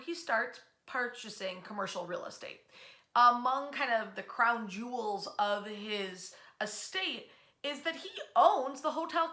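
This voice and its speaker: An American woman telling history stories in an informal, conversational way, with dramatic pauses and emphasis. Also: on the high side, sharp tone. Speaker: history storyteller, host. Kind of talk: informal narrated history storytelling. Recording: echoey room sound.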